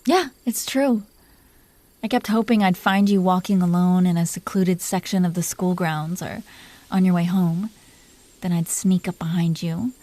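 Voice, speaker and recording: Casting: woman as dragon queen for intimate audio roleplay. Dark, mellow tone; possessive, teasing, secretly tender, soft-spoken and coaxing. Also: mid-range voice, close to an everyday voice. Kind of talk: intimate roleplay audio drama addressed to the listener.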